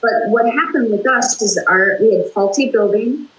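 A woman's voice: a little high, animated, normal speed, fairly bright tone. An older woman, an author and fitness instructor, talking earnestly in a casual interview over a video call from her home office. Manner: chatty, earnest, frequent restarts. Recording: echoey room.

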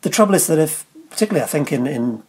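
A man in his sixties, a science writer answering interview questions in a halting way, often repeating words. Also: fairly high pitch, animated, darkish tone, husky.

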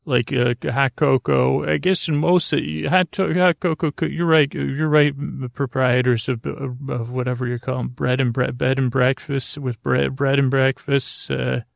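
A man hosting a bedtime podcast in a meandering, halting monologue full of whimsical tangents, with a creaky voice. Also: mid-range voice, level tone, no room sound, clean studio sound. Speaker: podcast host.

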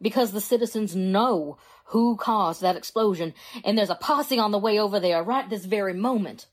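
A woman plays a worried small-town mother in old-style radio drama. Her medium-pitched voice is grave and earnest, acted in period manner. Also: normal speed, natural voice.

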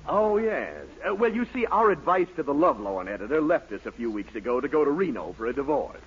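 An actor playing a wry, politely exasperated newspaper editor in a 1940s radio comedy, with theatrical delivery. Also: male voice, mid-range voice, very animated, a little fast. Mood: placating. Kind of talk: 1940s radio sitcom acting. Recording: noisy, dull 1940s radio recording, studio audience.

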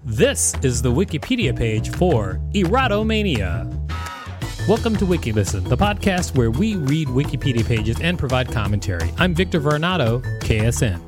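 A man's voice: medium pitch, moderate pace. Guy cohosting a podcast, reads stuff out then cracks jokes, voice jumps around a lot, faint music under it. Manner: animated, joking asides. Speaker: podcast co-host. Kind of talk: reading aloud with commentary.

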